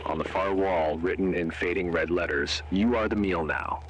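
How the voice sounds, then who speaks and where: A man playing a grave narrator and interrogator in scripted horror, speaking in an ominous, measured way. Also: sits low, a little hiss or hum, lightly acted, low-quality recording. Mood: eerie, suspenseful.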